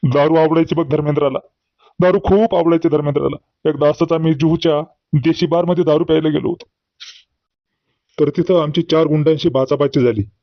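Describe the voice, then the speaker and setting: Man voicing old village braggart, highish voice, earthy scolding talk, cartoon comedy, dull dry recording. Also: fairly even intonation, a little fast, no echo, natural voice. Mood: storytelling. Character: boastful old village man, grieving, exasperated, telling tall tales.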